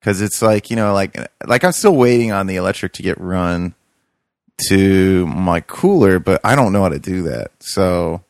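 Casual talker, young man, very low voice, loose rambly way of speaking. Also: expressive, moderate pace, no echo, studio quality. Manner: rambling, many fillers and restarts. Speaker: podcast co-host, urban farmer. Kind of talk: casual chat.

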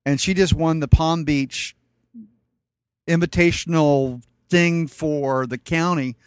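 A man's voice: a little high, fairly even intonation, takes it slowly, clear, resonant tone, no echo, studio quality. A middle-aged man telling family stories in a blunt, wry way, a guest in casual conversation. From Colombia. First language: Spanish. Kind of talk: casual storytelling.

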